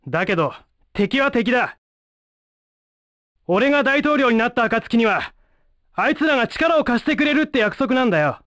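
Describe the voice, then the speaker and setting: A man voicing a cocky, hot-headed young ninja with a brash, rough manner in an anime fighting game. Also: very high voice, animated, moderate pace, long pauses, fairly bright tone, dry recording, studio quality. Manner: brash, rough street slang, combative.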